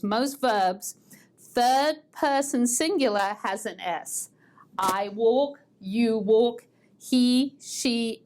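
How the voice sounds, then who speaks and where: Slow, patient American woman teaching English. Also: a little high, darkish tone. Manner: slow, patient, repeats words for drilling. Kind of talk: online grammar lesson. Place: language school in Boston.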